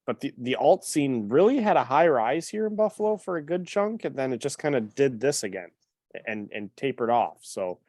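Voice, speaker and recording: A man's voice: medium pitch, big pitch swings, normal speed, darkish tone, dry recording, clean studio sound. A man on a podcast panel chatting casually, thoughtful and hesitant, with many fillers. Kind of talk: casual chat.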